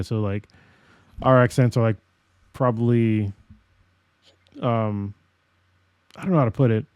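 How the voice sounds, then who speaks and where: A man's voice: mid-range voice, a little mellow, no room sound, studio quality. An American man co-hosting a podcast, telling stories in a rambling way with lots of fillers and laughs. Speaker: podcast co-host. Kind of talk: casual chat.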